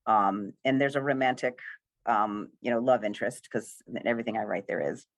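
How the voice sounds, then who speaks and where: A woman's voice: a bass voice, fairly quick, no echo. Dry-sounding woman screenwriter in casual Q&A. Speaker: screenwriter answering questions. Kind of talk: casual post-reading Q&A talk.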